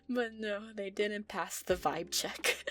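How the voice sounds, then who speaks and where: A woman's voice: medium pitch, takes it slowly, a bit bright. A woman playing an affectionate, easily flustered, centuries-old vampire girlfriend, talking intimately and hesitantly to her listener in an audio roleplay. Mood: earnest, reminiscing.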